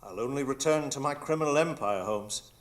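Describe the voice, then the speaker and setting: An actor playing a proud, desperate pirate captain and crime lord in a live radio drama, theatrical and grandiose. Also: male voice, mid-range voice, a little fast, close to an everyday voice. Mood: desperate pleading.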